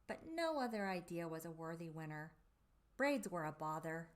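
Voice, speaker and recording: A woman reading a children's picture book aloud expressively, with theatrical drawn-out emphasis and dramatic pauses. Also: lowish voice, lively intonation. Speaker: storybook reader for children.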